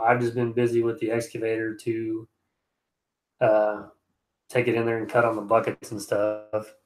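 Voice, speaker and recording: A man's voice: mid-range voice, poor audio. Easygoing stuffed-up man chatting live. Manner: easygoing, rambling, frequent restarts. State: stuffed-up nose. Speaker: excavation contractor streaming live. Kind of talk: casual livestream chat reading comments. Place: at home.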